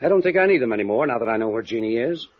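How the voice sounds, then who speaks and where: A man's voice: mid-range voice, animated, racing, natural voice. A man playing a guarded, well-spoken wealthy father in a 1950s radio noir drama, speaking formally and evasively. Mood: smooth, probing. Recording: muffled vintage radio drama recording.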